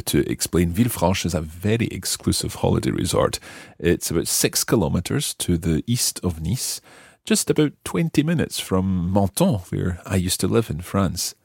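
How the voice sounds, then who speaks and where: A man's voice: sits low, vocal fry, darkish tone, breathy edge, no echo, clean studio sound. A man presenting a language lesson podcast in a conversational, explanatory style.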